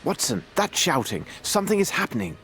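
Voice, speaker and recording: An actor playing a shrewd, self-assured Victorian detective with a British accent in an adventure game, speaking precisely and formally as if musing aloud. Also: male voice, a little high, very animated, a little fast, fairly bright tone, faint music behind. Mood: urgent, commanding. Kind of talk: scripted adventure game dialogue.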